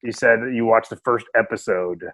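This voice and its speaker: A man's voice: on the low side, brisk, a bit bright, dry recording. A man hosting a podcast remotely, chatting and joking with lots of fillers. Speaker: podcast host. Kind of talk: casual chat. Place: remote home setup.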